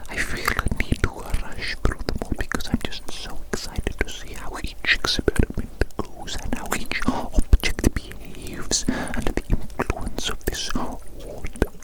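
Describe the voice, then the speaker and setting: A woman's voice: lightly acted, poor audio. ASMR roleplay: female performer as self-satisfied mad scientist, fully whispered, condescending, savours repetition. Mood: delighted fascination. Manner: repeats phrases slowly, savouring them, condescending. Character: gloating evil mad scientist doctor, obsessed with experiments.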